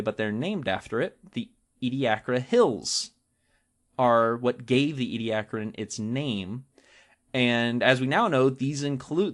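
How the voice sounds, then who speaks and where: Male podcast co-host, average pitch. Informal, jokey explainer who leans on the important words. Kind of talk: casual explanatory talk with a co-host.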